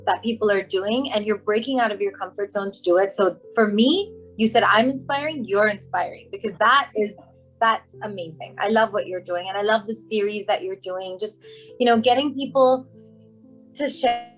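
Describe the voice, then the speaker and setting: A young woman from Hong Kong chats openly as an interview guest, her voice sitting at a middle pitch. She laughs often and her pace swings between quick and slow. Faint music plays behind her. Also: rough recording. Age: young adult. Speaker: guest, makeup artist and brand founder. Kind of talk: casual interview chat.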